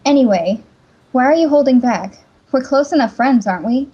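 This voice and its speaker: A woman's voice: high-pitched, expressive, moderate pace, husky. A woman voicing a frank, wary young princess champion in a fan-dubbed fantasy game, blunt and questioning. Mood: blunt, breezy. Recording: dull microphone, faint music behind.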